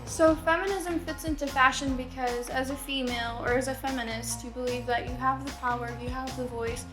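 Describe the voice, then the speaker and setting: A woman's voice: sits high, fairly even intonation, a little fast. A woman in her twenties speaking earnestly in an interview. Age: 20s. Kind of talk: candid sit-down interview. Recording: music bed behind voice.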